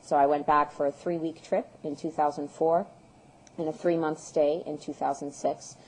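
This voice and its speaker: A woman in her thirties from the United States, answering interview questions in an earnest, measured way with frequent ums and uhs. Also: low-pitched, flat delivery, a little mellow, slight background noise, rough recording. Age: 30s. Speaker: guest, peace activist.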